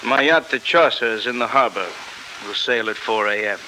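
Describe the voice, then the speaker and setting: An actor playing a suave smuggler villain in a 1940s radio drama, genteel and hesitant, politely threatening. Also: male voice, fairly low pitch, normal speed, pushed and bright. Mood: polite menace. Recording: noisy old 1949 radio recording.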